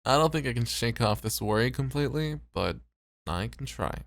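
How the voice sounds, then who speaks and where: Man acting as weary club host, self-doubting, hesitant, understated, mid-pitched, dry close recording, ASMR roleplay. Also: expressive, moderate pace, vocal fry, dry recording. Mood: worried, resigned.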